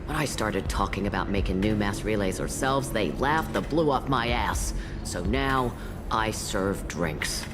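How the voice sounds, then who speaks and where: A woman's voice: a bass voice, expressive, unhurried. A woman playing a world-weary, foul-mouthed old alien matriarch bartender in a video game, with sardonic, dry delivery. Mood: bitter. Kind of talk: video game character acting. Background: loud background music.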